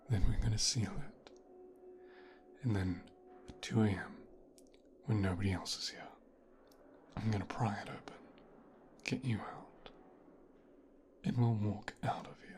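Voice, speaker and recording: A man playing a possessive, smooth-talking son of a mafia boss in an intimate, hushed ASMR audio roleplay. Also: fairly low pitch, slight background noise. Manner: slow, hushed, half-whispered delivery with deliberate pauses.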